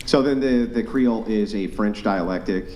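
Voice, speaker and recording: A very deep male voice belongs to an argumentative debate host who presses his guests with pointed questions. His voice rings in a noticeably echoey room. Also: normal speed, dark tone. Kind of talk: live debate show.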